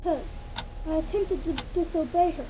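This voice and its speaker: A woman's voice: very high-pitched, moderate pace, mellow. A woman voicing a frightened young filly, hesitant and childlike, in a dramatic fan fiction reading. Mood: confessing. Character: frightened young filly confessing dark secrets. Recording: noisy home recording.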